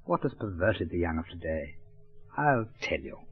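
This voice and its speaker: A man's voice: sits low, very animated, normal speed, slightly rough, pitched below the performer's own voice, heavily acted. A man playing a prudish, pompous moral crusader with an upper-class English accent, making a hesitant mock-earnest speech in a 1930s comic monologue. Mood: scandalised. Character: prudish, pompous male moral crusader addressing a public meeting. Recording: dull 1930s gramophone record.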